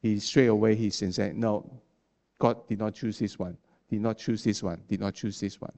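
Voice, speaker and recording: A man who is not a native English speaker preaching a sermon, talking in a halting way with many restarts and fillers and a habit of ending points with "okay?". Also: lowish voice, fairly even intonation, gravelly, warm tone.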